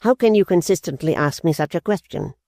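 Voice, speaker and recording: A man reading aloud and voicing a stern, cold older mother who speaks slowly and severely. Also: high voice, lively intonation, mellow, dry recording, studio quality, pitched above the performer's own voice. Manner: stern, clipped, deliberate.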